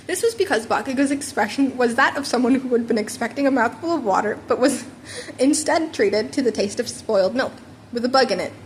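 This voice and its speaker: A woman's voice: a little high, lively intonation, brisk. A woman reading a story aloud as an amateur narrator, stumbling and rereading words now and then. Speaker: amateur fan fiction narrator. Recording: home recording with background noise.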